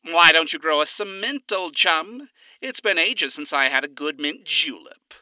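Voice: mid-range voice, lively intonation, measured pace, sharp tone, no room sound, voice pushed up. Narrator doing smug fancy radio demon guy, super polite and formal, bouncy delivery. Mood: breezy. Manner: formal, genteel phrasing. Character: smug, courtly male radio demon, gentlemanly.

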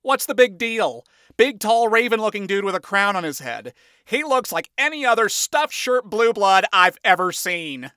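A man voicing a brash, crude male imp who talks loudly and casually in slang. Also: high voice, very animated, normal speed, smooth, no room sound, clean studio sound, voice pushed up, full character voice. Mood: dismissive.

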